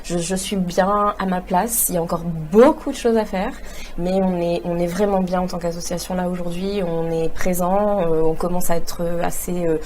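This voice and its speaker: A woman, speaking as a guest on a talk show. She answers earnestly and with enthusiasm, often pausing on fillers and restarting her sentences. Her voice sits fairly low, and a faint ambient hum sits behind her. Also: fairly even intonation, darkish tone. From Réunion. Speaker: guest, entrepreneur. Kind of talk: conversational interview answers.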